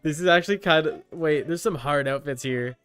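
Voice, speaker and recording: A man's voice: a little high, a little fast, a bit bright, faint music behind. A man chatting casually and swearing as he livestreams while setting up a game character. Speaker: streamer.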